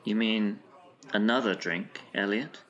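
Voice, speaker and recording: An actor playing a proper, dryly teasing but concerned gentleman friend in an audio drama, with old-fashioned polite diction. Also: on the low side, a little slow, highs cut off. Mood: worried.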